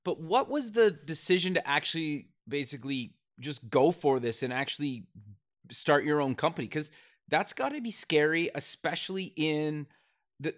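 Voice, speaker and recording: A man's voice: fairly high pitch, animated, normal speed, fairly bright tone. A man hosting a podcast, asking questions in an upbeat, curious way. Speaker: podcast host. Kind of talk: interview questions.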